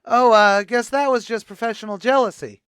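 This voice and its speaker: A man's voice: very high-pitched, fairly even intonation, normal speed, fairly bright tone, clean tone, dry recording, studio quality. A man playing a brash, slangy tavern host with a New York accent in an old-time radio sitcom. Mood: pushy, friendly. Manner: slangy, mangled grammar, eager chatter.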